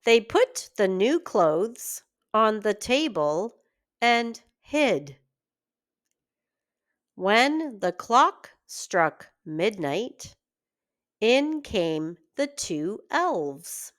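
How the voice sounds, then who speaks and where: Very slow, animated woman reading a fairy tale. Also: mid-range voice. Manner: expressive, deliberate storytelling for young children. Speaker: children's story reader, teacher. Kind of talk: read-aloud children's fairy tale narration.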